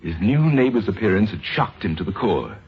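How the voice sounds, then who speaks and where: A man's voice: lowish voice, big pitch swings, a little fast, husky. A man narrating a scripted old-time radio drama in a grave, theatrical manner. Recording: dull lo-fi old radio recording, music. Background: dramatic music.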